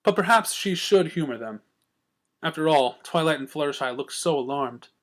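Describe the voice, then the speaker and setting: A man's voice: a little high, expressive, moderate pace, a bit bright. A man reading scripted fan fiction as a storyteller. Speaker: story reader.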